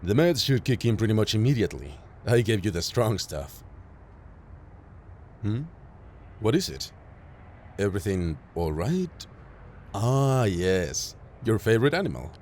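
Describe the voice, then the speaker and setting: Guy playing a caring medic guy in an audio rp, lowish voice, gentle n matter-of-fact, lively intonation. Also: measured pace, pauses often, slight background noise. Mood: gentle small talk. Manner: gentle, reassuring, matter-of-fact, addressing a patient directly. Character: calm, caring male field medic, worried, reassuring. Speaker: voice actor in audio roleplay. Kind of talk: intimate scripted audio roleplay.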